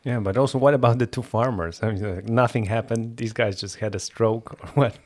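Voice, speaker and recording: A man's voice: mid-range voice, fairly quick, a little mellow. A man from Poland, a native Polish speaker, a comedian co-hosting a podcast, telling a story hesitantly with lots of restarts. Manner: hesitant, restarts, plain phrasing.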